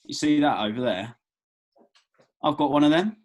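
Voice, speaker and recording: A man reading the part of an awkward, eager young man in a comedy script table read, with a hesitant, earnest delivery and a husky voice. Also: fairly high pitch, expressive, moderate pace, darkish tone, dull audio, natural voice. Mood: boastful. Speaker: actor in a script read-through. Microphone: video call.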